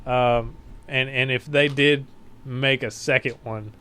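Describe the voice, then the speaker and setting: A man chatting as a podcast guest in an easygoing, relaxed way, with frequent ums and uhs. His pitch sits in an ordinary middle range. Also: slow pace, clean tone. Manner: relaxed gamer talk with fillers. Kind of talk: casual chat.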